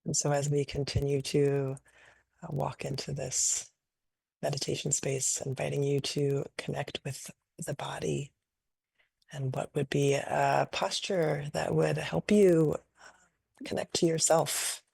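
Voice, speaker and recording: A woman leading a guided meditation in a gentle, unhurried, invitational way, improvising softly and leaving long pauses. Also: low-pitched, level tone, gravelly, slightly rough, no room sound. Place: online video call session.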